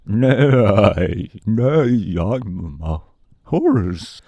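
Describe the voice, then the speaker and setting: A man voicing a humble, simple-minded wooden sawhorse come to life, talking in a slow, wondering drawl. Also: on the low side, very animated, dark tone, no echo, highs cut off, heavily acted.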